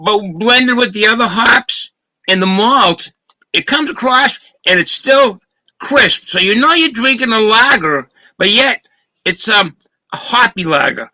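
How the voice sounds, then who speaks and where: A man with a thick Boston accent talks through a beer's flavor in careful, descriptive detail. His voice sits high and very bright, with a sharp edge. The sound is dry and close over a video call. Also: lively intonation, measured pace, dry recording, rough recording. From Boston, Massachusetts. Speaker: beer reviewer, co-host. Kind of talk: casual chat, beer tasting review.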